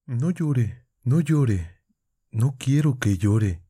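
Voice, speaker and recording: A man's voice: medium pitch, lively intonation, very slow, warm tone, husky, no echo, studio quality, pitched above the performer's own voice, full character voice. A man voicing an impassioned, tearful young woman in love, speaking urgently and pleadingly. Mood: tender, soothing. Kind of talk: audiobook character voice.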